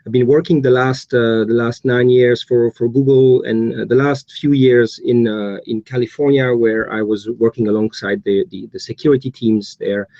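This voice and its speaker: Belgian-accented man, mellow tone, halting webinar delivery with many restarts, dry close sound. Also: medium pitch, steady tone, no echo, muffled recording. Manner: hesitant, many repeats and fillers. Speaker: guest speaker. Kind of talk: webinar presentation.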